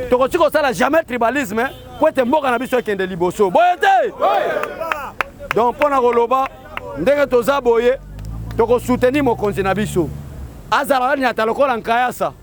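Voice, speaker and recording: A man with a Congolese accent giving a rousing street speech to supporters, shouting out calls for the crowd to answer. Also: very high voice, animated, brisk. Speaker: political activist addressing supporters. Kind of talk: rally speech with call-and-response.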